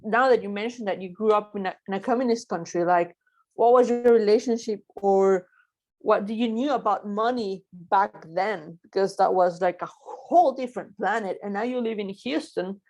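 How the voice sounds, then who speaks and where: A woman's voice: mid-range voice, moderate pace, darkish tone, low-quality recording. A woman hosting a podcast, chatting casually and acting out what people say. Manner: chatty, laughing, mimics people. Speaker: podcast host. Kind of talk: casual interview chat.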